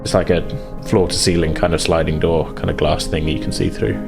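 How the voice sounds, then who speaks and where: A man narrates a tabletop game as game master. He explains things conversationally, often qualifying what he says. Music plays clearly behind him. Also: sits low, steady tone, fairly quick.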